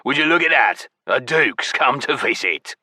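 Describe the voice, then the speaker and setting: Hoarse, belligerent goblin thief. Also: male voice, expressive, normal speed, sharp tone, no room sound. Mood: jeering. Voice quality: deep, hoarse, growling. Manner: coarse slangy drawl, belligerent and jeering. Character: foul-mouthed, touchy, mocking goblin thief convict.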